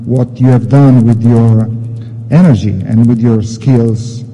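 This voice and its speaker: A man with an Italian accent speaks slowly and haltingly in a very soft-edged, dark tone. He is a military commander giving a formal address at a podium, and his voice rings through a large hall. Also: mid-range voice, steady tone. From Italy. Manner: halting, repeats words. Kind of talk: formal ceremonial speech in halting English. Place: large indoor ceremony hall. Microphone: podium microphone. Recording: reverberant hall sound.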